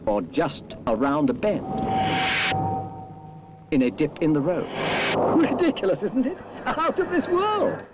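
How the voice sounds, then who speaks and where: A man's voice: a little high, big pitch swings, measured pace, pauses often, loud music behind the voice. A British man narrating a 1970s road safety film in a wry, advisory way. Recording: muffled, noisy old film soundtrack.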